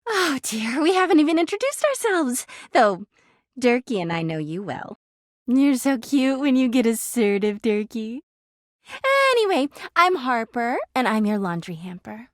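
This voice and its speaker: A woman's voice: fairly high pitch, very animated, a little slow, no echo, clean studio sound. A woman voicing a clingy, jealous talking laundry hamper in a video game, gushing sweetly and flipping into bitter drama. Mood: sweet, forced cheer. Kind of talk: video game character voice acting.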